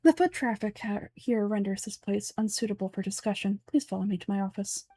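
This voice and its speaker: A woman's voice: medium pitch, brisk, dark tone, no echo, lightly acted. A woman voicing a pompous, fanatical older male sage from a game, speaking formally and in declamatory fashion. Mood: coldly courteous. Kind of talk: game dialogue dub.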